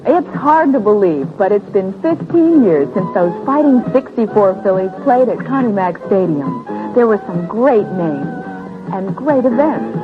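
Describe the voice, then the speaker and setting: Female announcer for a 1970s radio spot, lively intonation over music. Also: medium pitch, a little slow, narrow, tinny audio. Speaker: advertisement announcer. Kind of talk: scripted 1970s radio ad read.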